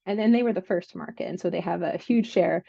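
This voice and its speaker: A woman's voice: on the low side, quick pace, creaky, dark tone, no echo. A woman working as a biotech analyst, giving a conversational market briefing on a call. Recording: slightly dull, lo-fi call audio.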